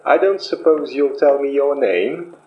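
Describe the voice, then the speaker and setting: Sinister possessing spirit, played by an actor, unhurried, swooping theatrical delivery, horror podcast drama, muffled echoey sound. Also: medium pitch, lively intonation, slight background noise, natural voice. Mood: politely menacing. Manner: slow, measured, theatrical, politely menacing. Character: George May, polished, regretful, sinister possessing sorcerer spirit from the past. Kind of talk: audio horror drama acting.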